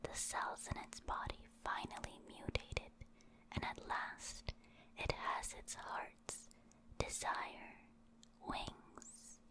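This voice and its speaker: A woman whispering as she reads short reference entries aloud in an ASMR style. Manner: whispering, slow and deliberate. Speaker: ASMR creator reading aloud.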